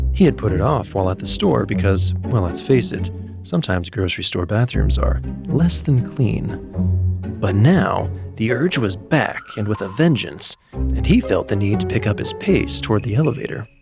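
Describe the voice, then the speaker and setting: A man narrating a scripted comic story in an animated, wry way. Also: lowish voice, vocal fry, husky, no echo, clean studio sound. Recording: music behind the voice. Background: background music.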